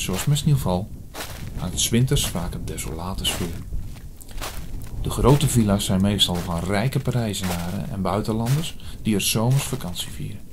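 A man playing an earnest priest in an audio drama, telling his story in a measured, formal way. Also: fairly low pitch, a little mellow, slight background noise, natural voice. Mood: reflective narration. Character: earnest male priest investigating an apocalyptic prophecy. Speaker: narrator and lead actor. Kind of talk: scripted audio drama, first-person narration. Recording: music under the voice.

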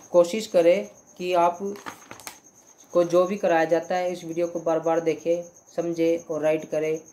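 A young man teaching English grammar in Hindi, going slowly through example sentences, repeating them and often checking "clear?". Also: a little high, mellow, a little hiss or hum. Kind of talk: Hindi-language grammar lesson.

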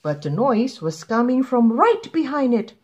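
A woman reading a children's picture book aloud in an expressive, deliberate way. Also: a little high, breathy edge. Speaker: children's story reader.

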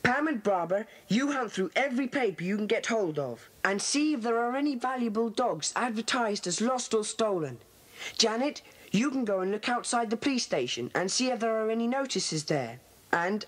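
Children's drama role: confident British boy leader, husky, expressive, commanding. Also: mid-range voice, normal speed. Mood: brisk, commanding. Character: bossy, confident schoolboy club leader. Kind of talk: children's audio drama acting.

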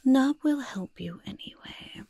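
A woman playing a sly, fussy goblin master of disguise in a hushed, breathy ASMR roleplay, speaking deliberately and close to the microphone. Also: high voice, expressive, a little hiss or hum, exaggerated voice. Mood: sly, teasing. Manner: slow, deliberate, refers to self in third person. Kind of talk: ASMR roleplay, soft-spoken whisper.

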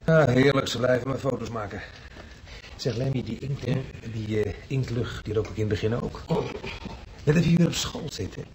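An actor playing a good-natured, teasing hospital patient with a casual, chatty manner in a radio play. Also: male voice, mid-range voice, moderate pace, noisy background, lightly acted. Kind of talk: Dutch radio play acting.

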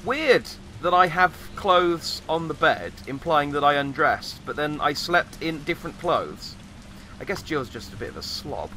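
Slightly croaky man with a bright voice. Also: on the high side, big pitch swings, measured pace, a little hiss or hum. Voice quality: slightly croaky, recovering from voice loss. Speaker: gamer, host of a let's play. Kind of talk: casual, rambling game commentary.

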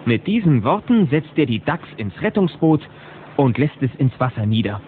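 A man's voice: mid-range voice, very animated, normal speed. A man narrating a scripted children's audio drama in a dramatic storytelling style. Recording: narrow-band, hissy old cassette recording.

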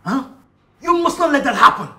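A man's voice: very high-pitched, lively intonation, brisk, heavy background noise. Old-fashioned father, middle-aged, admonishing, varied intonation, echoey screen drama. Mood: stern, admonishing. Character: stern, old-fashioned father of a grown son. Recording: echoey room, faint music behind.

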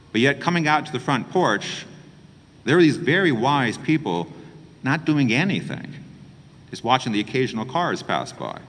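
A middle-aged man from New Mexico, a priest preaching a homily with gentle, expressive delivery and pauses, in a small chapel. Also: mid-range voice. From New Mexico, USA. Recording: church reverb.

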